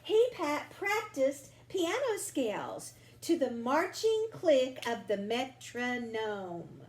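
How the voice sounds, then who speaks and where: A woman reading a children's picture book aloud expressively, drawing out words with deliberate, dramatic phrasing. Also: high voice, sing-song, darkish tone. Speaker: storybook reader. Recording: roomy home recording with faint noise.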